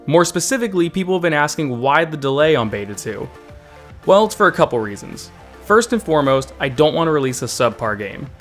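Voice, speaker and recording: A man's voice: a little high, a bit bright. A man hosting a scripted video update as a game developer, speaking in an upbeat, informal way over music.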